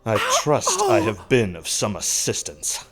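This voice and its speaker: A man's voice: fairly low pitch, huge pitch swings, unhurried. A man playing a cunning, pompous mold spore orator bent on conquest, in a comic audio drama. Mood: menacing, mocking. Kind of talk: theatrical audio comedy drama.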